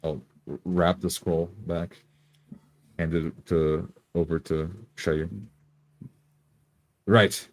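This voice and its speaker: Very slow, low-voiced man roleplaying. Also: little pitch movement. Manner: halting, deliberate, repeats words. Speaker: tabletop game player. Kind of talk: in-character tabletop roleplay.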